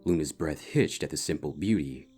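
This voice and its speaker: Deep-voiced man narrating a story. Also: expressive, moderate pace. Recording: music bed behind the voice. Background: soft instrumental guitar music.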